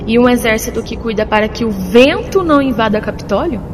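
A woman's voice: on the high side, lively intonation, brisk, background noise, close to an everyday voice. A woman playing a composed, decisive leader on a mission in an audio drama. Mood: commanding.

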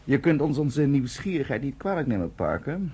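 An actor playing a smug, suspicious British army major in a Dutch radio drama, suave and condescending in an official way. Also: male voice, mid-range voice, sing-song, brisk, dark tone, husky. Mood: suave, prying.

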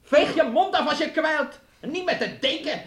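A man's voice: very high-pitched, animated, moderate pace, fairly bright tone. An actor in a Dutch radio drama playing a volatile, taunting working-class man who swings between scorn and coaxing. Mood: irritated.

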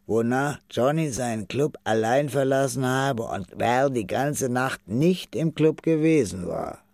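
A man narrating a scripted radio crime drama in a measured, matter-of-fact way. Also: mid-range voice, darkish tone, no echo, studio quality.

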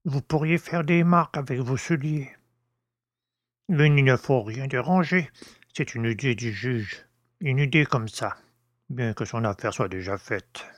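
A man's voice: mid-range voice, a little slow, dry recording, natural voice. A man playing a fussy, plain-spoken old household servant in an audiobook. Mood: anxious, warning. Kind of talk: audiobook character dialogue.

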